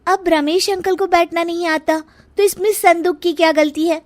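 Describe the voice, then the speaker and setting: A woman voicing a sassy, sweet-talking young daughter-in-law in an animated story, with exaggerated, expressive delivery. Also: very high voice, moderate pace, no room sound, studio quality, voice pushed up. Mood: breezy, defensive. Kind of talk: animated Hindi moral story acting.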